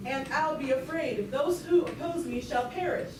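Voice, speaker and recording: A woman's voice: sits high, moderate pace, lightly acted. A woman playing a brash, power-hungry young male space revolutionary in an amateur comic puppet show. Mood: menacing. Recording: echoey distant recording with clear noise.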